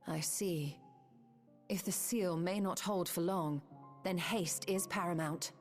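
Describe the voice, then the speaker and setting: An actress voicing a wise, composed sorceress in a video game, speaking in a measured, formal and assured way. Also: female voice, fairly low pitch, soft background music.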